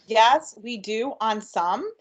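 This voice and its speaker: A woman's voice: fairly high pitch, expressive, slow. A woman giving an upbeat, chatty webinar presentation with frequent ums. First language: English. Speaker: workshop presenter.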